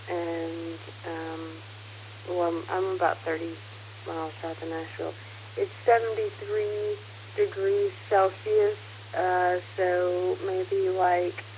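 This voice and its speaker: American woman rambling on ham radio, slightly low voice, muffled w/ some hiss. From United States. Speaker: amateur radio operator. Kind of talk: casual radio contact chat.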